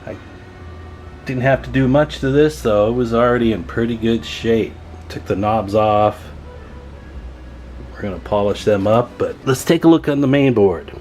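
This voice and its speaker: A man's voice: medium pitch, normal speed, long pauses, a little mellow, soft background music. A man giving a casual, chatty show-and-tell as a radio repairer and video host, folksy and enthusiastic.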